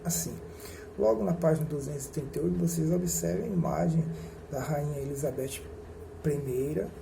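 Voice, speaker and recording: A man giving a recorded history lecture, speaking slowly and deliberately with pauses and self-corrections. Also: on the high side, vocal fry. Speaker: history teacher. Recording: noisy recording with ambient hum.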